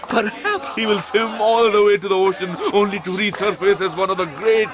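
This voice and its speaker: An actor playing a pompous, gullible, timid middle-aged companion in a radio drama, speaking floridly and melodramatically, with music and outdoor sound behind. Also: male voice, sits high, steady tone, fairly quick, close to an everyday voice. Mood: mournful, grandiose.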